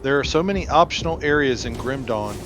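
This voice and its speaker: A man's voice: medium pitch, expressive, a little fast. Man narrating a game walkthrough over music. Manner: explanatory, addresses viewers directly. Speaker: gameplay video narrator. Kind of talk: casual game walkthrough commentary.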